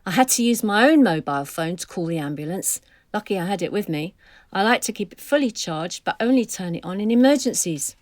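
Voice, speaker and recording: An elderly woman played by an actress grumbles bluntly and indignantly in an audio drama. She has a mid-pitched voice and complains freely, in a dry recording with no room sound. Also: expressive, a little fast, no room sound, natural voice. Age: older. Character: grumpy, indignant elderly woman neighbour distrusting robots.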